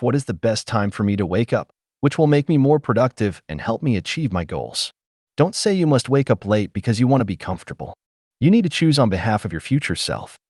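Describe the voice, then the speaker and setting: A man reading a self-help audiobook as scripted narration, measured and instructive, recorded close in a dry space. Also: on the low side, darkish tone, dry recording.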